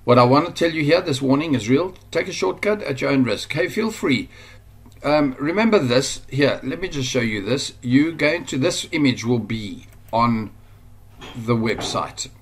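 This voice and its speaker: Casting: South African man, mid-pitched, off-the-cuff screen-recorded tutorial, chatty and rambling. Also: expressive, moderate pace, slight background noise. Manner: rambling, informal, many fillers and restarts. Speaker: online course instructor. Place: at a computer, voice-over screen recording.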